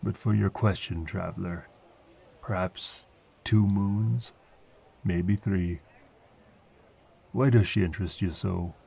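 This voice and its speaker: A man's voice: sits low, fairly even intonation, takes it slowly, gravelly, pauses often, mellow, husky, exaggerated voice. A man voicing an old, chatty village farmer with a rambling dialect, in a fantasy audio roleplay. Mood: curious, wary. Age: older. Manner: folksy, rambling rustic dialect.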